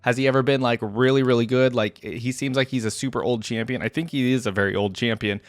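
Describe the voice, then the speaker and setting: A man's voice: mid-range voice, fairly even intonation, fast, no room sound. Casual man commentating, dry close sound. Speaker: gaming reaction video host.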